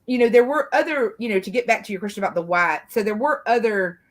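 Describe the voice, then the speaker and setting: A woman's voice: mid-range voice, fast. A woman from the American South speaking casually and at length as a panel commentator, circling through her points with lots of filler phrases. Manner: rambling, frequent "you know". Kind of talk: casual true-crime discussion.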